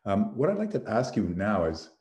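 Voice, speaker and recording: Male host, very deep mellow voice, measured questions, roomy echo. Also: huge pitch swings. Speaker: host, foundation president. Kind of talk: hosting a panel discussion.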